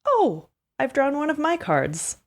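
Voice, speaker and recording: A woman playing a tabletop game, improvising narration in a casual, animated storytelling manner. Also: high-pitched, measured pace. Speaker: player and performer in a tabletop RPG.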